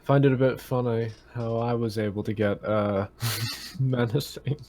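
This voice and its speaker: A man playing a tabletop game, chatting casually in a halting way, apologetic and repeating himself. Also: medium pitch, fairly even intonation, a little mellow. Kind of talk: casual chat. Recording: faint noise, keyboard clicks.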